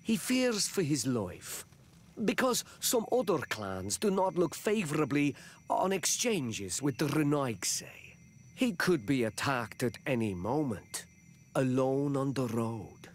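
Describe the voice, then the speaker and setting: An actor playing a courteous, diplomatic native village chief in a video game, speaking formally and with measured solemnity. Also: male voice, mid-range voice, sing-song, slight background noise. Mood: concerned. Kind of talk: video game character dialogue.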